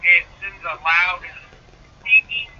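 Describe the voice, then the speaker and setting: A man's voice: sits high, takes it slowly, recorded from a distance. A man calling in on the phone, talking casually. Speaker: caller. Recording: dull, sharp phone line.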